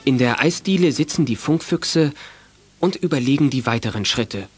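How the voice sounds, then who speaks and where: A man's voice: mid-range voice, expressive, normal speed, soft background music. A man giving scripted narration for a youth detective radio play.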